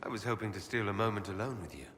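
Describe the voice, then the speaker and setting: An actor playing an earnest, loyal leader of a fantasy game order, with a sincere and direct way of talking. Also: male voice, on the low side, expressive, rapid-fire, fairly bright tone, long reverb tail, slight background noise. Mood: earnest, flirtatious. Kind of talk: scripted fantasy game dialogue.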